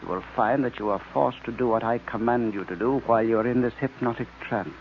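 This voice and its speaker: An actor playing a sinister mastermind hypnotist, slow, deliberate and domineering, in old radio serial style. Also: male voice, medium pitch, natural voice. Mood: commanding. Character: commanding male villain, a sinister hypnotist mastermind. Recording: muffled, noisy vintage radio recording.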